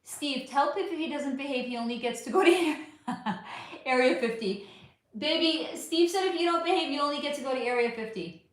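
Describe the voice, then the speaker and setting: A woman's voice: high-pitched, expressive, normal speed. A woman hosting a casual livestream chat, profane and affectionate, losing her voice. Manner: chatty, profane, affectionate with viewers. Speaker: livestream host. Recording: very echoey room.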